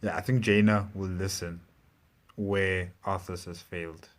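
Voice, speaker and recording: A man giving casual reaction commentary as a show host, speaking haltingly and repeating phrases. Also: low voice, steady tone.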